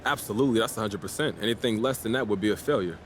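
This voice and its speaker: An actor playing a cocky, defiant young American basketball star, casual and boastful in a sports game's post-game interviews. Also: male voice, fairly low pitch, rapid-fire, slight background noise, close to an everyday voice. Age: young adult. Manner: boastful, self-assured, casual.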